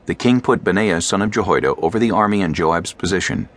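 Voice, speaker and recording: A man narrating scripture as a scripted audiobook, in a measured, solemn storytelling manner. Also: sits low, expressive.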